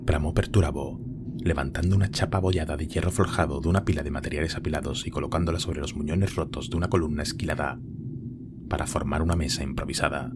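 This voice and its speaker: A man's voice: rumbling low, fairly even intonation, fast talker, very noisy. A man narrating a scripted war story in a dramatic audio drama style.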